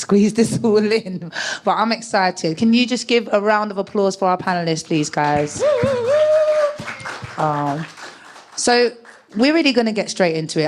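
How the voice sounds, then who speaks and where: A woman's voice: on the low side, a little mellow, a little hiss or hum. A woman hosting a live panel show, upbeat and enthusiastic as she introduces the panelists. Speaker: host. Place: live event with audience.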